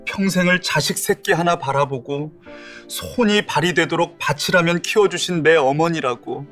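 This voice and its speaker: A man's voice: a little high, moderate pace, fairly bright tone, faint music behind, natural voice. A man voicing an exasperated husband in an audio drama, pleading and accusing. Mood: angry. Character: exasperated husband devoted to his mother.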